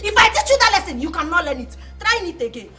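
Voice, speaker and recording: Comic skit role: a woman playing a boastful, hot-headed village woman, Nigerian accent, bright sharp tone, lively swings. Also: extremely high pitch, fast, echoey room, soft background music, exaggerated voice. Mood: scolding. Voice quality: Nigerian pidgin, threatening and scolding. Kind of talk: comedy skit acting.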